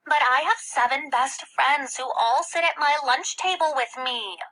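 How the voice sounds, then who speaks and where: A woman's voice: high voice, measured pace, pushed and bright, voice pushed up, heavily acted, rough recording. A woman voicing a whiny, dramatic, self-important seventh-grade girl in a children's audiobook, wailing with emphasis. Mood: wailing, indignant.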